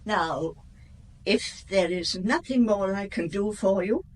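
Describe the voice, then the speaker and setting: Woman playing a slow-spoken, stately old princess. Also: mid-range voice, slight background noise, lightly acted. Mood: gracious, restrained. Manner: formal, measured, stately. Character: gracious, composed elderly widowed princess. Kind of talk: radio drama dialogue.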